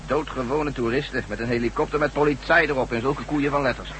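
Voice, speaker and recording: An actor playing a brisk, cool-headed general with a dry, commanding manner in a science-fiction radio drama. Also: male voice, mid-range voice, steady tone, fairly bright tone, natural voice. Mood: dry, calm. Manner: brisk, commanding, drily teasing. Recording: noisy hissy old radio recording.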